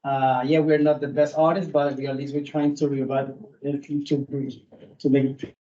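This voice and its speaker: A man's voice: mid-range voice, level tone, dark tone, muffled recording. Man with a strong Puerto Rican accent. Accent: Puerto Rican Spanish. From Puerto Rico. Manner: earnest, halting, many restarts and fillers. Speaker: food truck owner speaking at public meeting. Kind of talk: informal public comment. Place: public meeting room.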